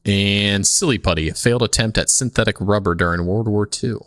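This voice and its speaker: A man's voice: lowish voice, brisk, a bit bright, no room sound, studio quality. A man co-hosting a casual podcast, joking and chiming in with playful, teasing banter. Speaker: podcast co-host.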